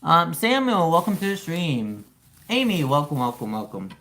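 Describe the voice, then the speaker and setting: A man's voice: on the high side, sing-song, normal speed, pushed and bright. A man from Ukraine live-streaming from his home kitchen on a phone, chatting casually with viewers and explaining each cooking step as he goes. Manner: chatty, greets viewers and explains steps while chopping. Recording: roomy kitchen with background noise.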